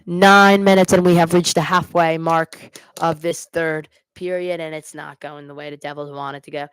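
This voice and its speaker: A woman with a fairly low voice gives amateur live hockey play-by-play as a fan host. She mixes the calls with exasperated, complaining asides about the team. The sound is dry, with very little room echo. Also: level tone, normal speed, clear, resonant tone. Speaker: fan commentator hosting a live hockey watch party.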